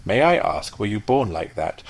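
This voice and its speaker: A man's voice: on the low side, very animated, moderate pace. A man voicing a peaceable, chatty female duck with a raised, quacking voice.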